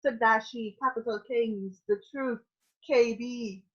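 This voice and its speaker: A woman's voice: a little high, steady tone, slow pace, fairly bright tone, slightly rough, lo-fi sound. A woman with a Trinidadian accent, interviewing a guest in a casual live-streamed chat, speaking with energy and enthusiasm and sometimes slipping into preaching.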